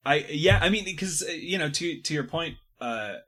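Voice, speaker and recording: A man on a podcast panel discussing literature casually, analytical and wordy, often halting and repeating himself. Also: on the high side, expressive, sharp tone.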